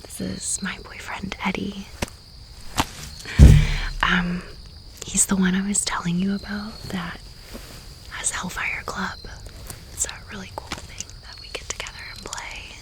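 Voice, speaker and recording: A woman playing a friendly teenage girl in an ASMR roleplay, whispering softly in a chatty, welcoming way. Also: mid-range voice, level tone, measured pace, long pauses, close to an everyday voice.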